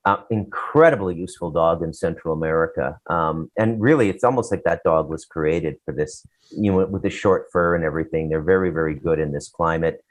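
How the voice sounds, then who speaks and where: A man's voice: low voice, fairly quick. A man co-hosting a casual talk show, giving opinions in a rambling, blunt, profane way. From Canada.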